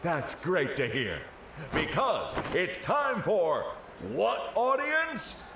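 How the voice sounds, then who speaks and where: A man voicing a showy, excitable TV cooking show host in a cartoonish video game, announcing with theatrical drama. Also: high-pitched, drawn-out words, heavily acted. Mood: excited announcing. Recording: roomy echo with background noise.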